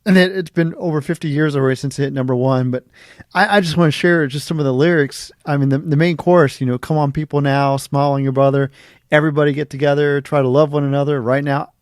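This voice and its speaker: Man, higher pitch, dry close sound, casual interviewer, enthusiastic and admiring. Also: fairly even intonation, fast, no room sound. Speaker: interview host.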